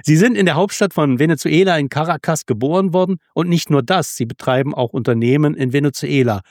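A man's voice: fairly high pitch, a little fast, darkish tone, no echo, studio quality. A man hosting an interview, reading a scripted introduction and asking polite, formal questions. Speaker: interviewer, host.

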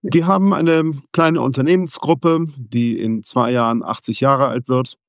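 A man who grew up in Venezuela, answering interview questions in a measured, explanatory way. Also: mid-range voice, fairly even intonation, vocal fry, dry recording, studio quality. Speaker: interview guest, businessman.

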